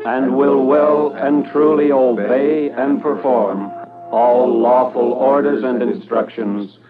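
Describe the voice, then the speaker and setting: Actor as solemn slow oath-taker, loud music. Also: male voice, mid-range voice, fairly even intonation, lightly acted. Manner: measured, solemn recitation. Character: solemn police recruit swearing an oath. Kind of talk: 1950s radio drama acting. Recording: narrow-band old radio under loud music.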